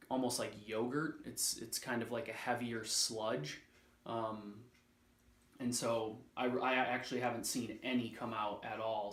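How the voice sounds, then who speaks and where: Male product reviewer, medium pitch, casual and unscripted, thinks out loud, recorded in an echoey space. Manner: casual, hesitant, thinking aloud.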